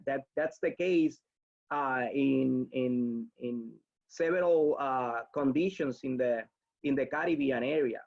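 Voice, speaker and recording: Gravelly, slightly high instructor, halting, lo-fi webinar line. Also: male voice. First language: Spanish. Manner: halting, many restarts, self-deprecating. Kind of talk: answering audience questions in a live webinar.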